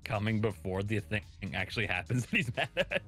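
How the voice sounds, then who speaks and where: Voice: mid-range voice, big pitch swings, fairly quick, fairly bright tone, slightly rough, faint music behind. A co-commentator chatting casually on a game stream, joking and slipping into mock quotes. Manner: jokey, slips into mock quotes.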